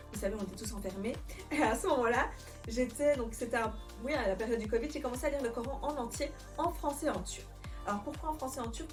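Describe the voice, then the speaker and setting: A woman's voice: a little high, distant mic. A Belgian-born woman in her twenties telling her personal story to camera in an earnest, reflective way, with frequent fillers and restarts. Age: 20s. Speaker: vlogger.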